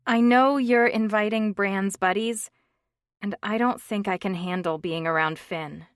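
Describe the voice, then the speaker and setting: A woman's voice: mid-range voice, unhurried, no room sound, natural voice. A woman voicing a frustrated woman in her thirties, wry and exasperated, in a dry, close reading. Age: 30s.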